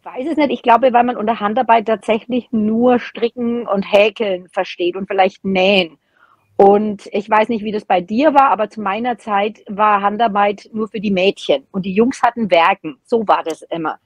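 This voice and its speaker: Husky middle-aged lady, chatty and candid, very dry close sound. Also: mid-range voice, normal speed, no room sound. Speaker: podcast guest, teacher. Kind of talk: casual chat. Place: small room at home, indoors.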